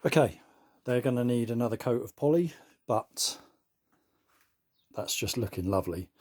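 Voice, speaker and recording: A man, losing his voice, casually narrating his workshop restoration work in a soft, trailing way. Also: mid-range voice, expressive, moderate pace. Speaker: workshop host. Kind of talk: casual narration while working.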